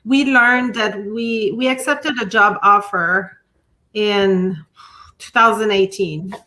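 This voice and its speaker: Lady, talks slow, lots of ums, video call. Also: mid-range voice, fairly even intonation, sharp tone, low-quality recording. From Quebec, Canada. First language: French. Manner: slow, hesitant, frequent ums and restarts. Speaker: art teacher and coach. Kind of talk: interview answers.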